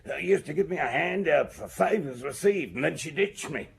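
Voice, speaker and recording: A man acting a shifty, rambling drug pusher turned informant in a radio drama, gravelly and hesitant, with colloquial speech. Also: a little high, expressive, moderate pace. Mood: drunken, bitter quote. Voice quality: gravelly, husky.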